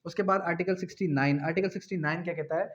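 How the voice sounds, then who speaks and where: A man giving an explanatory law lecture, repeating key phrases slowly and asking rhetorical questions in a didactic way. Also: on the high side, steady tone. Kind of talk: explanatory law lecture, mixing in English terms. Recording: dull, muffled lo-fi recording.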